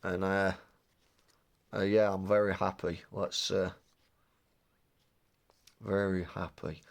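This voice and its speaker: A man chatting casually while opening trading cards, sounding a little bunged up with a cold and rambling excitedly. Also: low voice, slow pace, vocal fry, noisy background. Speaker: card-opening vlogger. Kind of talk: casual unboxing chat.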